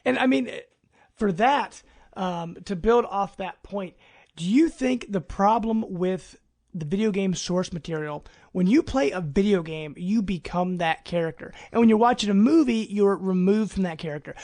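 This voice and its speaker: A man's voice: high-pitched, moderate pace, darkish tone. A man speaking as a podcast host in a casual discussion.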